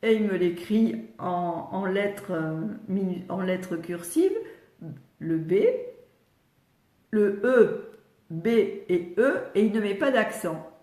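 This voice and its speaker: A woman's voice: medium pitch, lively intonation, slow pace, warm tone. An older woman, a retired speech therapist, explaining reading to parents in a chatty live question-and-answer session, slightly congested with a cold. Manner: chatty, explanatory, addresses viewers by name. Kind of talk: live Q&A teaching. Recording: roomy echo.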